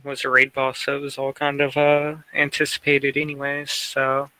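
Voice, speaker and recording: A man's voice: medium pitch, completely flat delivery, normal speed, pushed and bright, smooth, low-quality recording. A man co-hosting a podcast in casual, conversational chat. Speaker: podcast co-host.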